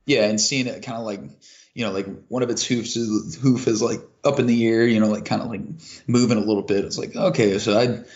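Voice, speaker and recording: A man's voice: on the low side, steady tone, fairly quick, darkish tone. A man from Kentucky co-hosting a casual podcast, rambling with many restarts and fillers. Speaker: podcast co-host. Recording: lo-fi muffled audio.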